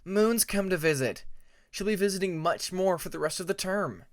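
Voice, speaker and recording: A man's voice: high voice, expressive, moderate pace, pitched above the performer's own voice. A man voicing an earnest, friendly young male dragon student in a storybook reading, upbeat and encouraging. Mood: excited.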